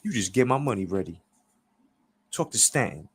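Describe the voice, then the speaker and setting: Man doing an easygoing, husky male boxer. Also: lowish voice, lively intonation, normal speed. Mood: confident. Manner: casual, brotherly, slangy.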